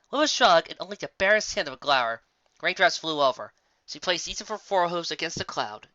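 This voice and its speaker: A man reading a story aloud as narrator, in an expressive scripted style. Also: fairly high pitch, dry recording, dull audio. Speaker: fan fiction narrator.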